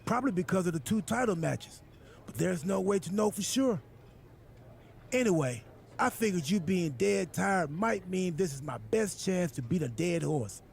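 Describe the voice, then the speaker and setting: An actor playing a quirky, self-important general manager in a wrestling video game, rambling and absurdly literal in a gravelly voice. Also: male voice, lively intonation, normal speed, a little hiss or hum. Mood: cheerfully oblivious. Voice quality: gravelly, high husky voice.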